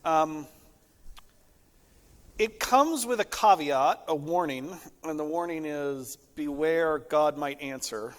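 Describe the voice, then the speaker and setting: A man with a slightly high voice speaks as a pastor in casual discussion. He tells stories conversationally, dropping in frequent asides. The sound is echoey, with the long reverb of a hall. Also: takes it slowly.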